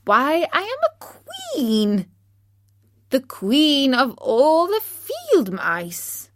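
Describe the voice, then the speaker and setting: A woman voicing a tiny, proud mouse queen in a storybook, with a small squeaky voice and regal, emphatic delivery. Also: lively intonation, slow, a bit bright, heavily acted. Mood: indignant, proud.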